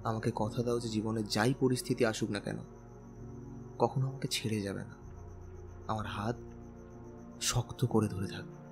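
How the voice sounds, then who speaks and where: A man playing a tender, slightly insecure young lover in a romantic audio drama, speaking gently and intimately. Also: lowish voice, fairly even intonation, normal speed, long pauses, faint music behind, lightly acted. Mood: earnest.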